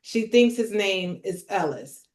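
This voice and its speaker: A woman's voice: medium pitch, animated, slow. A woman hosting a script table read, reading stage directions with informal asides.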